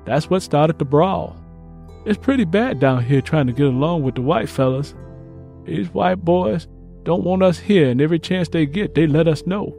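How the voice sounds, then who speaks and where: Earnest young country soldier, higher-pitched, read by a man. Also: animated, moderate pace, gravelly, dark tone, soft background music. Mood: earnest, reflective. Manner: plain, earnest rural Southern phrasing. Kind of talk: first-person letter read in audiobook.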